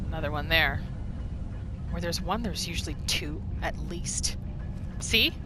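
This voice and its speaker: A woman giving casual, animated commentary as she plays a video game, often talking to the characters. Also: sits low, a little slow. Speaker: gaming video host. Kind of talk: casual game playthrough commentary with journal read-alouds. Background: game music.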